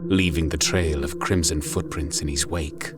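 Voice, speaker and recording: A man giving a grave, measured, dramatic narration of a scripted story. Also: a bass voice, fairly even intonation. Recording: music under the voice. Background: music.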